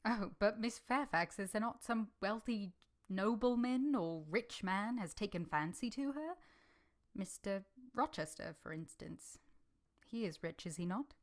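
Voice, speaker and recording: A woman's voice: medium pitch, lively intonation, unhurried, natural voice. A woman acting an earnest, reserved young governess in a vintage radio drama, composed and polite in dialogue and narration. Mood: probing.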